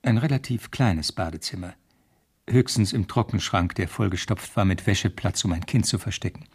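A man playing a young police detective, narrating his case soberly and precisely in a radio drama, with a husky, creaky voice. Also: low voice, normal speed, a little mellow. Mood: sober, measured. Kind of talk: first-person scripted radio drama narration.

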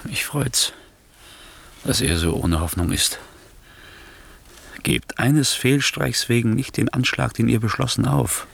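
An actor playing a sly nobleman urging treachery in a classical German radio play, with a husky, creaky voice. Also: mid-range voice, lively intonation, takes it slowly, long pauses, fairly bright tone, exaggerated voice. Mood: conspiratorial.

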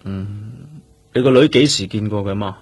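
An actor playing a quietly probing police detective in a radio drama, speaking Hong Kong Cantonese. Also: male voice, lowish voice, moderate pace, husky. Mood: probing, businesslike.

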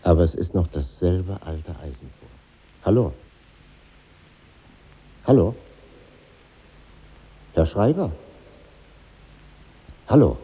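An actor playing a reflective middle-aged conductor revisiting his old school, husky and musing, in a 1950s German radio drama. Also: low-pitched, big pitch swings, highs cut off, full character voice. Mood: polite, hesitant. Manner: musing, pausing, nostalgic interior monologue.

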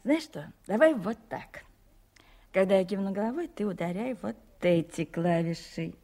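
A woman's voice: mid-range voice, animated, normal speed, warm tone. A woman playing a patient, affectionate mother instructing her young son in a radio play. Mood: gentle, encouraging. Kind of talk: 1970s radio play acting.

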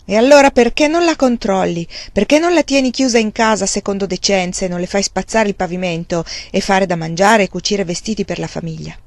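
A woman's voice: medium pitch, brisk, few pauses, no echo, close to an everyday voice. A woman voicing a young farmer man who speaks curtly and bluntly. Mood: exasperated. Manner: curt and blunt. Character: hardworking young farmer, man, proud and stubborn.

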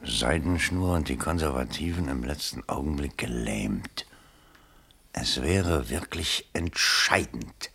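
A man's voice: very deep voice, expressive, slow pace, gravelly, bright, forceful tone, slight background noise, heavily acted. An actor in a 1960s German radio play, playing a middle-aged bourgeois father who pleads theatrically and wheedles. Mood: anxious coaxing. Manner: theatrical, wheedling, swinging into outbursts. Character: desperate, pleading bourgeois father and election candidate.

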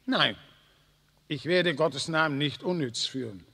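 Casting: ruhiger, gefasster alter Professor, knarzig und heiser, Hörspiel mit Nachhall. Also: male voice, fairly high pitch, a little slow, gravelly, heavily acted. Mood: dignified. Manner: calm, steadfast, dignified. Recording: reverberant old mono radio play.

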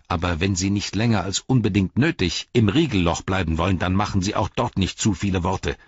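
A man's voice: sits low, brisk, slightly rough, highs cut off, pitched above the performer's own voice. A man voicing a pompous, officious male hobbit police captain who lectures in stiff, bureaucratic phrases.

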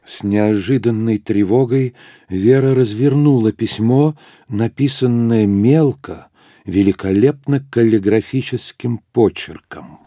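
Mellow, husky male storyteller. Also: medium pitch, no room sound, studio quality. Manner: measured storytelling. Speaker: narrator. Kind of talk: scripted literary narration.

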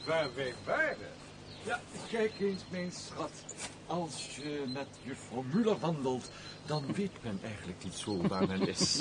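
A man's voice: fairly high pitch, big pitch swings, unhurried. A man acting a jovial, grumbling aristocratic husband in a theatrical Dutch radio play, chuckling and good-humoured. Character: jovial, complaining, comfort-loving aristocratic husband. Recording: noisy old radio play recording.

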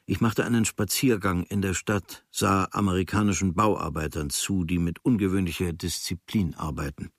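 A man playing an observant writer, reading his travel diary as a radio drama in measured, clipped literary phrases. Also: low-pitched, steady tone, slightly rough, no room sound, studio quality. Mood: measured, reflective.

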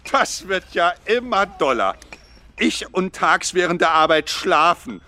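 A man's voice: high-pitched, lively intonation, measured pace, pushed and bright, heavily acted. An actor in a radio drama playing an indignant, incredulous male engineer in a theatrical manner. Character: indignant engineer doubting his own memory. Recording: music behind the voice.

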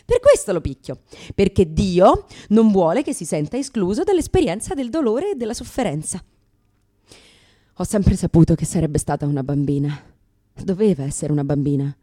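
A woman's voice: fairly low pitch, very animated, moderate pace, warm tone. An actress in a radio theatre monologue playing a brash, sarcastic, exasperated new mother in her thirties. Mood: indignant, sardonic. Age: 30s.

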